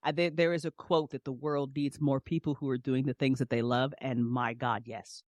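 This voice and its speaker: Emphatic, expressive woman in casual conversation. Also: very deep voice, moderate pace, dry recording. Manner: emphatic, expressive, informal, repeats phrases for emphasis. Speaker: podcast guest, independent entrepreneur. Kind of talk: casual, passionate interview conversation.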